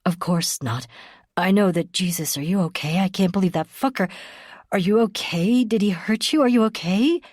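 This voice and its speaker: A woman reading an audiobook, voicing a calm, protective young man who talks in a soothing, low-key way. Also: moderate pace, no echo, studio quality, lightly acted. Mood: worried.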